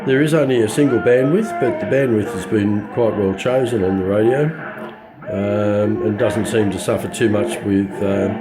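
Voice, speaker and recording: Australian man, fairly low voice, a bit scratchy after flu, halting and understated, informal hobbyist walkthrough, music playing clearly behind him. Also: fairly even intonation. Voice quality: slightly scratchy. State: recovering from influenza. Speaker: radio hobbyist reviewer. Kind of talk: informal hands-on product walkthrough.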